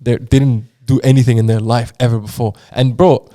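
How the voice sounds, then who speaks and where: A man's voice: mid-range voice, flat delivery, moderate pace, mellow. Laid-back man saying "bro" a lot. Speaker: podcast co-host, musician. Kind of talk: casual chat.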